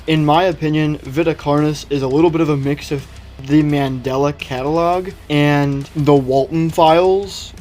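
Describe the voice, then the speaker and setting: Higher-voiced man giving casual video commentary. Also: a little mellow. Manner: opinionated, conversational. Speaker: video host. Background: background music.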